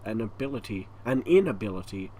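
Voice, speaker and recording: A man with an Australian accent and a croaky, hoarse voice, rambling through a casual channel update at home, stopping often for breath. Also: on the low side, lively intonation, brisk, mellow. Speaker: YouTube gaming channel host. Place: hot home room with a fan running. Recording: faint fan noise behind.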